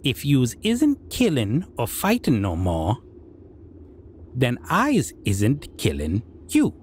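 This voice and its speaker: A man's voice: medium pitch, wildly swooping pitch, takes it slowly, a little mellow, slight background noise. A man voicing a civilized goblin king in an audio roleplay, sly and menacing yet amused, musing in broken grammar with hums. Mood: amused, condescending. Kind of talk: character audio roleplay addressed to listener.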